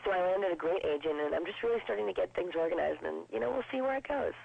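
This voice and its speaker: A woman in her twenties with a low voice, chatting upbeat as a guest in an interview. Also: expressive, gravelly, breathy edge, dry recording. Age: 20s. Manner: chatty and upbeat, quick with jokes. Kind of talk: casual interview. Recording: dull lo-fi broadcast sound.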